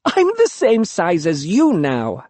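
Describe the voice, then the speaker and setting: A narrator voicing a spirited young village girl who teases and insists, speaking in a raised, lively voice. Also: mid-range voice, slow pace, no room sound, pitched above the performer's own voice. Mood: teasing. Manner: playful, insistent.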